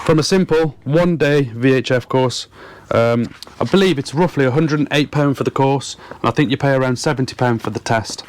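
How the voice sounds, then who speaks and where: A man with a mid-pitched voice talks to his viewers in a casual, explanatory vlog style. He is earnest and emphatic. He often repeats a word several times to drive his point home. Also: a little hiss or hum. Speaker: fishing and boating vlogger.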